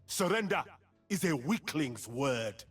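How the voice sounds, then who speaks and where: A man's voice: a little high, big pitch swings, takes it slowly, a bit bright, breathy edge, faint music behind, full character voice. A man playing a proud, noble warrior champion in a video game, confident and resolute, delivering stately, declamatory lines. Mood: commanding.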